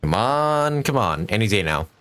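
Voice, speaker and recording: A man's voice: fairly low pitch, big pitch swings, normal speed, fairly bright tone. A young man giving casual live gameplay commentary, jokey and talking to himself as he plays. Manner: jokey, talks to himself while playing. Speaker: video game streamer.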